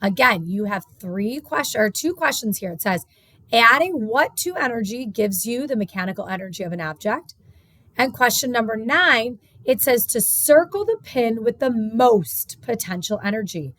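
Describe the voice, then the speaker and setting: A woman's voice: a little high, expressive, moderate pace, fairly bright tone, dry recording. A woman science teacher reading a textbook lesson aloud, explaining it step by step and repeating key points.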